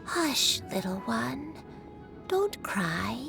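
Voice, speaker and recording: A woman's voice: fairly high pitch, big pitch swings, drawn-out words, vocal fry, music in the background, exaggerated voice. A woman playing a frail, forgetful old grandmother in a video game, with a wistful, wandering manner. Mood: tender, confused. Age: older.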